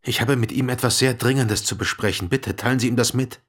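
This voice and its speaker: An actor playing an earnest, troubled herdsman in radio drama dialogue, with a husky voice and polite insistence. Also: mid-range voice, brisk, fairly bright tone. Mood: earnest, urgent.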